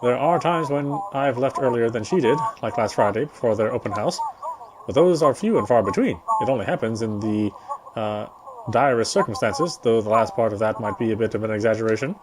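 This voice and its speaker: A man's voice: mid-range voice, a little fast, background noise. Man narrating a story game aloud. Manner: narrating, stumbles and rereads phrases. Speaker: gamer reading story text in a playthrough. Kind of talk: reading visual novel narration aloud.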